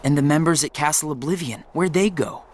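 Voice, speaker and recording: A man's voice: a little high, brisk, a little hiss or hum, close to an everyday voice. An actor playing an earnest teenage boy, naive and searching with uncertain questions, in a video game cutscene.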